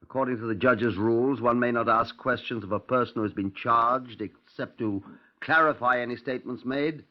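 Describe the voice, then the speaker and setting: An actor playing a stern, disdainful police detective, narrating a 1950s radio crime drama in a dry, clipped, musing manner. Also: male voice, mid-range voice, moderate pace, close to an everyday voice. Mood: musing, suspicious. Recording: muffled, lo-fi 1950s radio recording.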